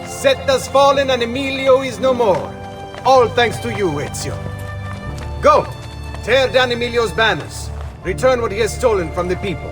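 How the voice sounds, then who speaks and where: A man's voice: extremely high pitch, normal speed. A man playing a bold, grateful rebel leader in a video game, direct and friendly. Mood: triumphant. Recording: music in background.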